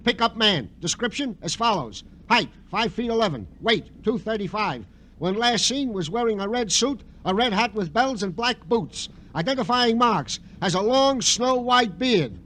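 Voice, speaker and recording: A high-voiced, comical police sergeant. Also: male voice, big pitch swings, unhurried, a bit bright, exaggerated voice. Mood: self-important. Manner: hesitant, earnest, theatrical. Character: eager, comical, thin-skinned male police sergeant. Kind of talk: 1950s radio drama comic acting. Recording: noisy vintage radio broadcast.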